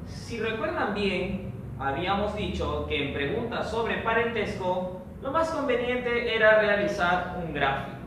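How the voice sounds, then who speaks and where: A man teaching a recorded online training lesson for teachers, explaining a logic problem slowly and step by step. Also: high-pitched. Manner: slow, methodical, explains step by step. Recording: echoey room, distant mic, noisy.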